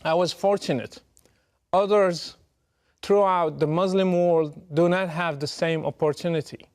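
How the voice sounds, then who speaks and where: A man from Morocco with a Moroccan Arabic accent delivers a prepared political address in an earnest, emphatic and measured way. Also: high voice, fairly even intonation, a little mellow.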